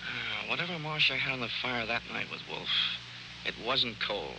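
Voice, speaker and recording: An actor playing a wisecracking private investigator in a 1940s American radio drama, with a sardonic, punchy, slangy delivery. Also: male voice, medium pitch, normal speed, gravelly, lightly acted. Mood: probing, pressing. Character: wisecracking, hard-boiled male private investigator. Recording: noisy, hissy 1940s radio recording.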